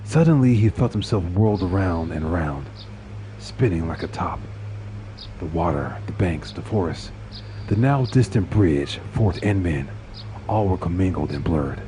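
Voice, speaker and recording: A man with a deep, low voice narrates a dark tale in a dramatic, scripted style. Clear ambient noise runs behind his reading. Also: expressive, a little slow, poor audio.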